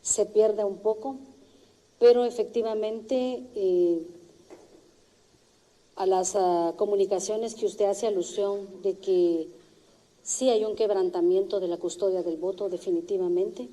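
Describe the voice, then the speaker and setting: A woman electoral official answering at a press conference, formal and measured with some hesitations. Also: medium pitch, steady tone, mellow, rough recording.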